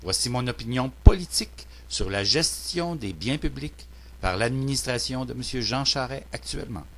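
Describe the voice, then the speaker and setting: A man's voice: medium pitch, animated, moderate pace, a bit bright. A man with a Québécois French accent delivering a prepared political opinion monologue in a formal, earnest, declamatory manner. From Quebec, Canada. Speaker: citizen political commentator.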